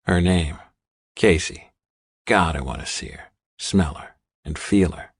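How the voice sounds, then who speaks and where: A man's voice: sits low, a little slow, gravelly, husky, no room sound, clean studio sound. A man reading first-person dramatic fiction as an audiobook narrator.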